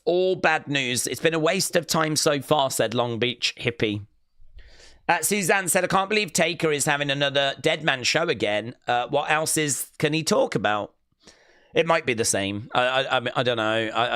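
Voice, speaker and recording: A man's voice: a little high, normal speed, fairly bright tone, clear, resonant tone, dry recording, studio quality. A man casually commenting on a wrestling show while streaming live and reading out chat messages. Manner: casual, chatty, many "uh" fillers. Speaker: wrestling watch-along streamer.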